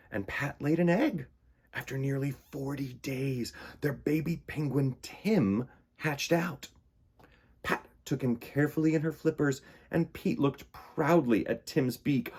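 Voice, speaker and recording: A man hosting a children's storytime, reading picture books and chatting in simple, expressive, conversational language. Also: a little high. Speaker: host of a children's storytime show.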